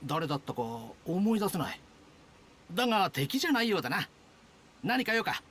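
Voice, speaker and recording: A man's voice: high-pitched, racing, slight background noise. A man playing a laid-back, aloof soldier who talks in an offhand way. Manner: relaxed, offhand. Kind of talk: Japanese game dub.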